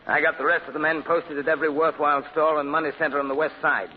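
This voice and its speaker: Casting: a man playing a ruthless, commanding radio-play gang boss, slightly high voice, tinny 1940s broadcast with hiss. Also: little pitch movement, fast, close to an everyday voice. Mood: scheming. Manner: snarling, commanding, turns frantic. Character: ruthless male gang boss, a scheming valet.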